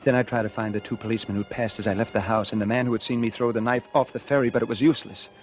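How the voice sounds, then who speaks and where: A man's voice: mid-range voice, steady tone, very fast, close to an everyday voice. An actor playing a guilt-ridden murderer who narrates his own downfall in a 1940s radio drama. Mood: despairing. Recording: 1949 radio recording with music.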